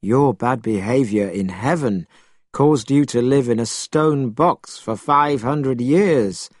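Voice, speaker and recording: A man voicing a gentle, sorrowful, morally stern young priest in a storybook, in a calm, admonishing manner. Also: mid-range voice, takes it slowly, no echo, studio quality. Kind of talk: storybook character voice.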